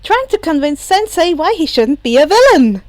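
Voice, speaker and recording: A woman's voice: extremely high pitch, sing-song, normal speed, dry recording, voice pushed up. A woman voicing a cheeky, bubbly teenage boy hero who talks in an eager, informal, persuasive way. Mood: cheerful.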